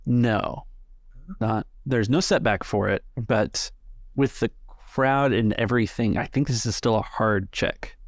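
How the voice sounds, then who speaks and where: A man speaking as game master, narrating and explaining a tabletop game in a measured way. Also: mid-range voice, no echo, clean studio sound.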